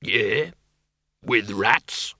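A man's voice: fairly low pitch, level tone, slow pace, creaky, sharp tone, dry recording, studio quality, pitched below the performer's own voice, full character voice. A man voicing a big, cheerful, blunt bogeyman who is easily tempted, in an audiobook story. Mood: curious. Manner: happy, blunt, exploratory.

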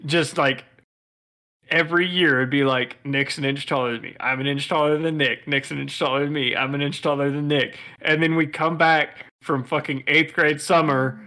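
A man's voice: on the high side, steady tone, moderate pace, bright, forceful tone. A young man co-hosting a casual podcast, teasing his friends with crude jokes.

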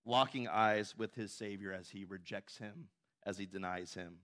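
A man's voice: on the low side, moderate pace. A man preaching a sermon as pastor, conversational, often asking "right?" and acting out quotes with a raised voice. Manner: animated, acts out quotes with raised voice.

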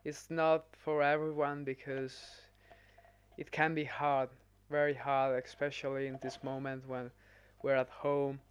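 Young guy on a podcast, smooth clear voice, earnest, keeps listing reasons. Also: medium pitch, little pitch movement, measured pace, dull audio. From Italy. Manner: earnest, piles up examples. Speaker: podcast guest. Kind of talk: casual podcast chat.

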